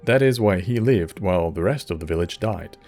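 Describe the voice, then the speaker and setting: Male video essay narrator, low pitch, scripted but casual, faint music bed. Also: lively intonation, a little fast, darkish tone.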